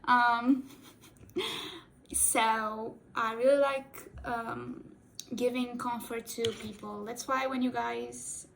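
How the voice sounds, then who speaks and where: A young woman, a native Portuguese speaker, chats in a slightly high, unhurried voice. She rambles playfully and keeps correcting herself. It is a home recording from a desk camera, with a faint hiss underneath. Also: creaky, fairly bright tone, rough recording. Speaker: cosplay makeup vlogger. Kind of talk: casual chatty makeup tutorial.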